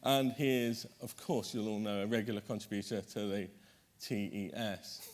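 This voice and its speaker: A man's voice: fairly low pitch, steady tone, normal speed. A man chairing a conference panel, introducing the speakers in a chatty way, correcting himself often and making light jokes.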